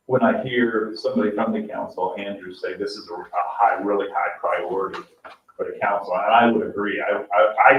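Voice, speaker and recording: American man, lower-pitched, halting delivery, meeting discussion, echoey room, dull distant sound. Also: a bit bright, slight background noise. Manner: halting, searching for words. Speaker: town council member at a commission meeting. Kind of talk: informal public meeting discussion. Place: indoor public meeting room. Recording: roomy, distant, muffled meeting-room mic.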